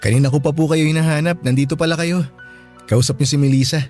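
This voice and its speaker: A man's voice: fairly high pitch, steady tone, fast talker, a little mellow, lightly acted. An actor playing a polite, earnest young single father in a Filipino radio drama. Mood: polite, bashful. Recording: music bed under the voice.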